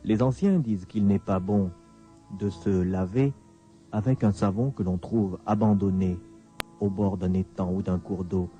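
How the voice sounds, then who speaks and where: A man narrating a radio story in a dramatic storytelling style, with measured, deliberate phrasing and pauses. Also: deep voice, steady tone, mellow. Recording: dull lo-fi radio recording. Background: clear music bed.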